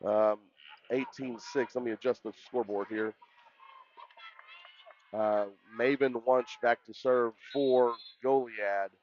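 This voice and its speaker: Loud man calling volleyball, muffled audio. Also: mid-range voice, fairly even intonation, slow, pauses often. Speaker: sports play-by-play announcer. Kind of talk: live volleyball commentary.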